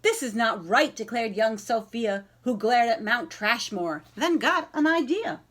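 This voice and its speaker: A woman reading a children's picture book aloud in an expressive storytelling style, addressing young listeners directly. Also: fairly high pitch. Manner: animated, pausing to ask young listeners questions.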